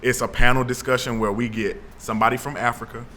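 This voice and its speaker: A young man from Atlanta, telling his story as an interview guest in a relaxed way with plenty of fillers. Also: medium pitch, fast, slight background noise. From Atlanta, Georgia. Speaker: guest, founder of an initiative. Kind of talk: casual interview talk.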